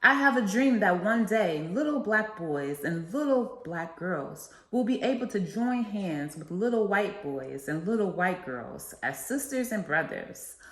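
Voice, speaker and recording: A woman's voice: mid-range voice, lively intonation, unhurried, few pauses, fairly bright tone. A woman reading aloud and explaining for children, as an educator leading a read-along. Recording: echoey, reverberant room sound.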